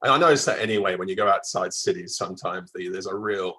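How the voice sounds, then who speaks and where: A man's voice: sits low, fast, sharp tone, husky. A man from Melbourne with a mild Australian accent, telling travel stories conversationally as an interview guest. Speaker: travel writer being interviewed.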